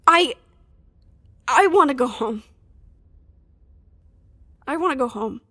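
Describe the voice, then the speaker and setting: A woman playing a bitter, distraught staffer trapped at a secret facility in an audio drama, halting and repeating herself. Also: high voice, lively intonation, pushed and bright, heavily acted. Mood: desperate, homesick. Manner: bitter, sweary, halting and repeating when overwhelmed. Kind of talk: audio drama acting, emotional monologue.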